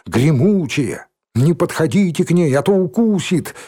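A rowdy schoolboy from a storybook, played by a man, calling out taunts. The voice jumps high and rough, jeering as it shouts. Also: animated, a little slow. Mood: taunting. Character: rowdy red-haired schoolboy taunting the crowd's victim.

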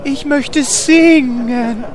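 A man's voice: extremely high pitch, drawn-out words, heavy reverb, big-room sound, heavily acted. An actor playing a simple-minded male warrior tank who talks about himself in the third person. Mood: sheepish.

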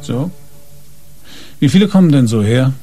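A man acting a gruff police inspector in a crime radio play. His mid-pitched voice gives short commands and mocking questions with sly authority, over faint music. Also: lively intonation, normal speed, mellow, natural voice. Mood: stern, probing.

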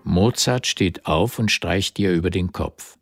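An actor narrating a historical radio drama in vivid scripted present tense, with a husky voice. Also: male voice, low-pitched, unhurried, dry recording, studio quality, faint music behind. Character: male narrator of a historical story.

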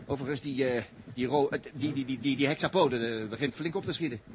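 An actor playing a wry, jittery captive astronaut in a 1960s radio play, hesitant and colloquial with stammered repetitions. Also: male voice, medium pitch, fast talker, natural voice. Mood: wry, puzzled. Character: wry, jittery male astronaut taken prisoner. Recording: noisy old radio recording.

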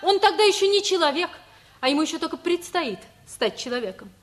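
A woman's voice: very high voice, sing-song, a little fast. An actress playing an idealistic young wife who pleads eagerly and earnestly with her elders in a radio play. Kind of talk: stage-style radio play acting.

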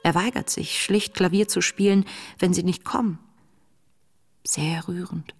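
An actress playing a young woman diarist in a radio drama, reading her entries in a hushed, reflective, tender way. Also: fairly low pitch, steady tone, a little slow, husky, faint music behind. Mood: earnest, tender. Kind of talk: intimate diary narration in radio drama.

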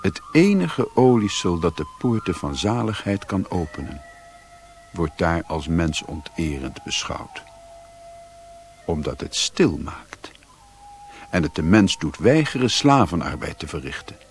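An actor playing a solemn storyteller, narrating a literary radio drama in a deliberate manner. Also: male voice, sits low, big pitch swings, soft background music, full character voice.

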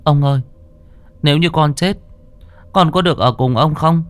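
A man voicing a frightened, naive young boy who calls out high and pleading. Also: moderate pace, clean tone, no echo, natural voice. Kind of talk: audio horror storytelling.

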